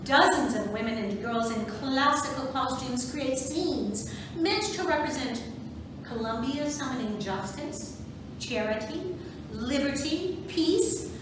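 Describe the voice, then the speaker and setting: Lady reading as proud suffragist lady, slow theatrical delivery, high voice, super echoey noisy hall. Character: proud, theatrical suffragist woman who organized a parade. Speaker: volunteer reader in a museum reading play. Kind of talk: amateur reader's theatre monologue. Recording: echoey, noisy room recorded from far off.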